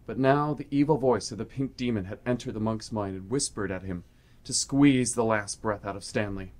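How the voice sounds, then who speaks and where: A man's voice: on the low side, normal speed, a little mellow. A man reading scripted, dramatic story narration for a radio play.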